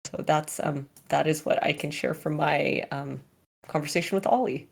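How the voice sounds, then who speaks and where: Woman, company head at a Q&A, low voice, casual, restarts often. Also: moderate pace, creaky. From California. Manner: chatty, many fillers and restarts. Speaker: company CEO answering questions.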